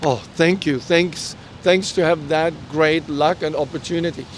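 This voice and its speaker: A middle-aged man with a German accent, a native German speaker, tells personal stories as an interview guest. His voice sits a little high, and he speaks earnestly and haltingly, often acting out bits of dialogue. He is recorded outdoors with faint vehicle noise around him. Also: steady tone. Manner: halting, earnest delivery with self-quoted dialogue. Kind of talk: personal storytelling in a conversational interview. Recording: outdoor street recording with traffic rumble.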